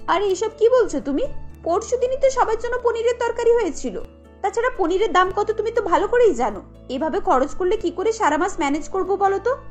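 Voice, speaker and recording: A harried young housewife from a family cartoon, voiced by a woman, very high in pitch. She complains in an irritated, insistent way, firm and thrifty, over light music. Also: lively intonation, fairly quick.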